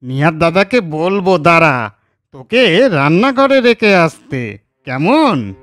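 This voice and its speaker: A man's voice: fairly high pitch, big pitch swings, slow, a bit bright, no room sound, studio quality, heavily acted. A man voicing a haughty young wooden table in a children's moral cartoon. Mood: smug, taunting. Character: haughty young new wooden table, mocking, later sorry.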